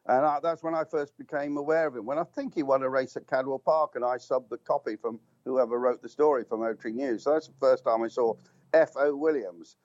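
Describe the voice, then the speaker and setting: An older man reminisces on air, rambling through anecdotes and stumbling over restarts. His mid-pitched voice comes over a slightly muffled, dull line. The sound is dry, with no room around it. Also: fairly quick, a little mellow, no room sound. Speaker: guest motorsport commentator. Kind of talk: reminiscing radio talk.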